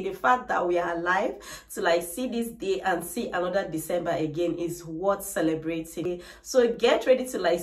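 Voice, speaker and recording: A woman's voice: mid-range voice, a bit bright. Chatty woman vlogging in an echoey room. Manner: chatty, frequent "like" and "trust me". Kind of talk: casual chat to camera. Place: bright decorated room indoors. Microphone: camera mic, no microphone. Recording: echoey room, voice a little distant.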